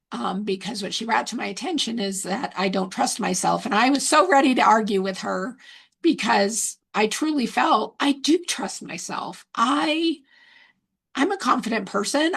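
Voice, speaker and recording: A woman giving a recorded testimonial with a hoarse, scratchy voice from a cold. She reflects earnestly and pauses often on ums. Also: medium pitch, fairly bright tone. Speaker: retreat attendee giving a testimonial.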